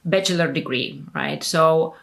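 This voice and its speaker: Gravelly-voiced woman from Bulgaria. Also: low voice, a little slow, a bit bright. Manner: hesitant, many fillers and restarts. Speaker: immigration attorney guest. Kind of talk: casual interview talk.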